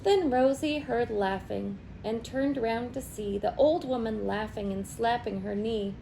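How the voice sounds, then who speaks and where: A woman reading a picture book aloud to young children as a teacher, expressive and pausing to ask them questions. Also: a little high, vocal fry, slight background noise, low-quality recording.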